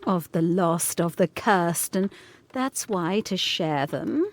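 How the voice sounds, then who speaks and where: A woman's voice: fairly low pitch, animated, slow pace. A woman playing an agitated, resolute young governess in a gothic audio drama, speaking with intense, formal period diction. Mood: grim.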